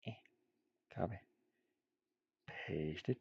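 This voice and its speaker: A man's voice: low voice, takes it slowly, vocal fry, breathy edge, no echo, lo-fi sound. A man giving casual, rambling commentary while he works on game art, muttering playfully to himself and repeating words. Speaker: indie game developer streaming.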